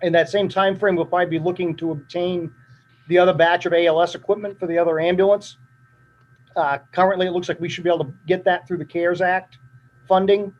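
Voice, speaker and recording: A man presenting a fire department budget at a town meeting, matter-of-fact and steady, his voice drying up. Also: high voice, a little fast. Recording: dull lo-fi meeting recording.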